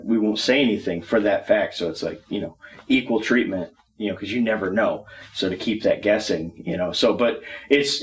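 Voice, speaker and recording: A man chatting casually as a panelist, talking in loose, rambling phrases. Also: on the low side. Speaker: panelist on a hobby talk show. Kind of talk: casual chat. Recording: distant mic, faint room noise.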